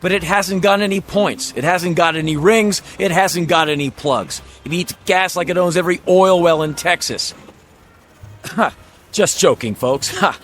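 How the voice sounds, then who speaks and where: Folksy, high-voiced used-car salesman. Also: moderate pace, a bit bright, slight background noise. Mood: blunt, exasperated. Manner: folksy sales patter, flustered, blunt. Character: fast-talking used-car salesman, helplessly blurting the truth. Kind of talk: old-time radio drama acting.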